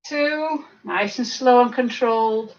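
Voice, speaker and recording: Croaky older woman leading a fitness class. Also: fairly high pitch, takes it slowly. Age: over 50. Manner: counting reps, chatty asides. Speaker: fitness instructor. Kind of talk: leading a seated exercise class. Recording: distant mic, dull lo-fi sound.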